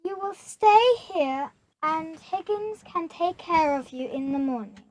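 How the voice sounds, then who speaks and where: A woman's voice: extremely high pitch, lively intonation, measured pace, a little mellow, poor audio. A woman playing a gracious, theatrical vampire countess, the lady of the house, in an amateur radio play with slight background noise.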